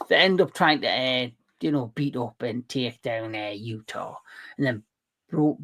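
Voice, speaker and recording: A man hosting a relaxed retro movie show over a call. He rambles through plot points with plenty of uhs and restarts, in a mid-range voice. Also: medium pitch. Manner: rambling, hesitant with many fillers. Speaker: podcast host. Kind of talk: casual movie chat.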